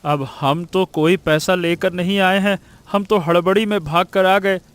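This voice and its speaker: A man's voice: high voice, steady tone, a little slow, clear, resonant tone, dry recording. A man voicing a poor, protective village father in a Hindi cartoon story, earnest and often repeating himself. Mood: pleading.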